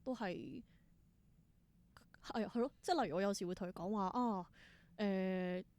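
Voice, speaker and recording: A woman's voice: medium pitch, normal speed, a little mellow. A young adult woman with a Hong Kong Cantonese accent, chatting reflectively as an interview guest and mixing in English words. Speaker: interview guest, theatre performer and creator. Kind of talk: casual interview conversation.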